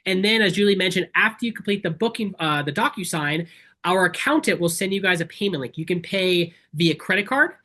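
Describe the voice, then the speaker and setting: A man from Kenya giving an informal, earnest and emphatic webinar sales pitch as a safari tour operator. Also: expressive, fairly quick, sharp tone. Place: online video call.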